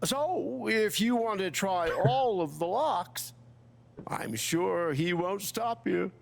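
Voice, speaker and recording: An actor playing a wry gnome in a fantasy game, with a dry, theatrical drawl. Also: male voice, sits high, slow, vocal fry, exaggerated voice. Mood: deadpan. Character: wry, deadpan fantasy gnome survivor in a tavern. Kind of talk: comic fantasy game acting.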